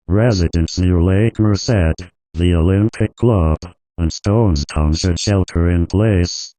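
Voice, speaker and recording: A man delivers a scripted emergency alert in a deep voice. His delivery is formal, flat and authoritative, with a subdued, dark tone. The sound is very dry, with no room to it. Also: dry recording, rough recording. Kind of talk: scripted emergency broadcast narration.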